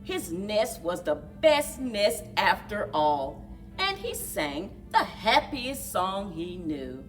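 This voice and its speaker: A woman reading a picture book aloud to young children as a story time librarian, expressive and deliberate, addressing them directly. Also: medium pitch. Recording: distant microphone, music mixed in.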